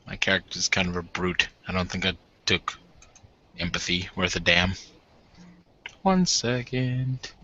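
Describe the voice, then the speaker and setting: A man playing a tabletop roleplaying game, narrating casually and joking in an expressive way. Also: sits low, measured pace, pauses often, dull audio, slight background noise.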